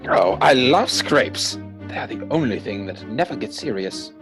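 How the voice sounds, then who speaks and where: A man acting a witty, idle young Victorian gentleman in a stage comedy reading, arch and teasing, recorded at home over a video call. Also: mid-range voice, expressive, normal speed, music in the background, lightly acted. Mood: amused. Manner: arch, teasing, mannered phrasing.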